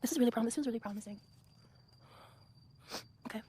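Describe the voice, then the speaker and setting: Higher-voiced woman on a noisy clip. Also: fast talker.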